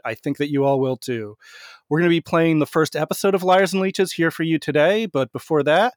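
A man's voice: fairly high pitch, no room sound, studio quality. A man hosting a podcast, introducing guests and asking questions in a friendly, structured interview style.

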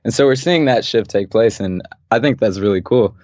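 A young man from Los Angeles, a podcast guest and designer, talking thoughtfully and calmly in a relaxed interview and laughing easily. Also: lowish voice, a little fast, no echo. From Los Angeles, USA. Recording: dull, dry podcast audio.

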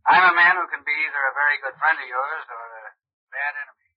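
A sly American blackmailer, threatening over the telephone. His voice is raspy and fairly high for a man, in 1940s radio style. The sound is muffled. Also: fast talker. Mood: menacing. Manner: insinuating. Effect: telephone filter. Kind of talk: 1940s radio drama acting.

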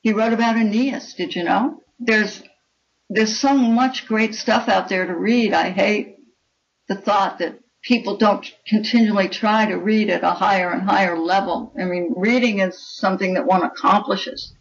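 An older woman talks as an interview guest, with a deliberate, opinionated way of putting things. Her pitch sits in the middle range. The recording is slightly dull and lo-fi. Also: fairly even intonation, creaky. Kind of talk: conversational interview.

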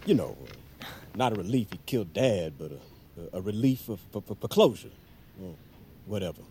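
An actor playing an evasive middle-aged father, a beer-drinking lieutenant, halting and gruffly jovial in an audio drama. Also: fairly low pitch, expressive, mellow, slight background noise, natural voice. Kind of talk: naturalistic audio drama acting.

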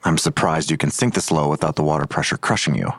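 A man's voice: extremely low pitch, quick pace, breathy edge, dry recording, heavily acted. A man playing a weary, grudging police detective in an audio drama, curt and sardonic in manner. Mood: curt, scornful.

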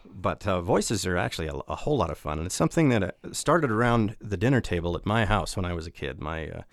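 A man's voice: sits low, expressive, fast talker, no echo. A middle-aged man, a voice actor, telling reflective anecdotes in a casual interview.

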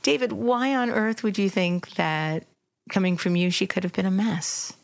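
A woman's voice: medium pitch, moderate pace, vocal fry, dry recording. A woman over fifty, a podcast interviewer talking in a thoughtful, conversational style.

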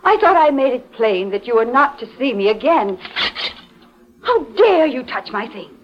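Dramatic 1940s radio society woman, high-voiced. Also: big pitch swings, background noise. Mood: alarmed, indignant. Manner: deliberate, dramatic delivery. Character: beautiful wealthy society woman, haughty, then terrified. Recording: dull vintage radio recording, music behind.

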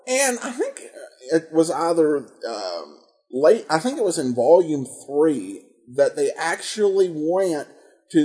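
Male podcast host, higher-pitched but soft-edged and mellow. Halting, conversational commentary with frequent self-corrections. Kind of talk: casual commentary on old radio detective shows.

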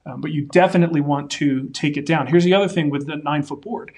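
Relaxed forties man giving shop advice. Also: on the high side, fast talker. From Denver area. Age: 40s. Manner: relaxed, practical advice with folksy asides. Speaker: woodworking show co-host. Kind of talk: live-stream Q&A, casual chat.

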